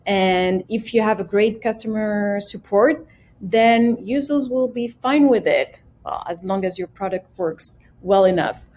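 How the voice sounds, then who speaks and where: A woman presenting a casual software tutorial, explaining each step simply and speaking directly to the viewer. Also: medium pitch, measured pace. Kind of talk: casual screen-share tutorial.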